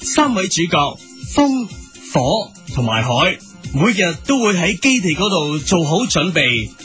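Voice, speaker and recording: Man playing cartoon superhero narrator, earnest, high-pitched, very animated, music underneath. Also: measured pace, exaggerated voice. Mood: earnest, heroic. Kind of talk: scripted dramatic show narration.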